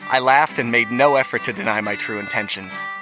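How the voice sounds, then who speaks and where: Guy voicing a kid brother writing a letter to his late big brother, earnest, mid pitch, music behind. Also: a little fast, rough recording. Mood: reflective. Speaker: narrator reading a letter. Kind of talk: scripted audio drama narration.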